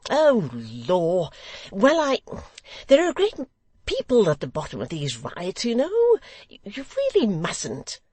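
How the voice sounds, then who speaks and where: A woman's voice: mid-range voice, huge pitch swings, slow pace, darkish tone, no room sound, pitched above the performer's own voice, full character voice. A woman voicing a testy, flustered male Lord Mayor who dithers and fusses. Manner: fussy, dithering.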